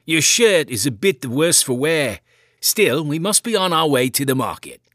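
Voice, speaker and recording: A man's voice: a little high, big pitch swings, normal speed, a bit bright, no room sound, clean studio sound, voice pushed up. A man doing a strong, kindly farmer uncle. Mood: brisk.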